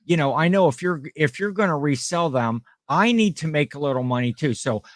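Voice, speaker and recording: A man's voice: on the high side, expressive, fairly quick, no room sound. A middle-aged man co-hosting a podcast, telling a story in casual chat and acting out the people in it. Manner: storytelling, acts out quoted people. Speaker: podcast co-host.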